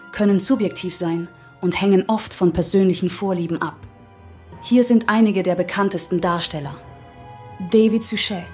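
A synthetic woman's voice playing an AI chat assistant, reading out answers in a measured, explanatory way. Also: mid-range voice, mellow, natural voice. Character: artificial intelligence chat assistant with a female synthetic voice. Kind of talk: scripted read-out of chatbot answers. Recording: music playing behind the voice.

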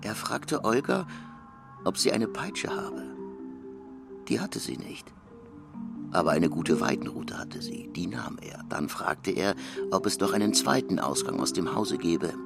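A man's voice: low voice, sing-song, unhurried, vocal fry, loud music behind the voice. A man reading scripted literary narration as the narrator.

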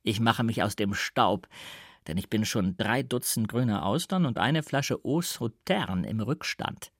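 A man voicing a breezy young gentleman in an audiobook, hearty and chattering, half annoyed and half joking. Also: on the low side, animated, a little slow, dry recording, studio quality. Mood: brisk teasing. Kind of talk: audiobook character voice.

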